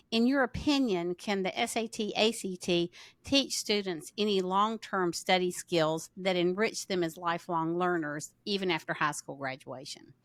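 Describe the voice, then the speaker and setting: Woman podcast host, bright voice, dry close sound, upbeat and encouraging. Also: medium pitch, dry recording. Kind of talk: podcast interview.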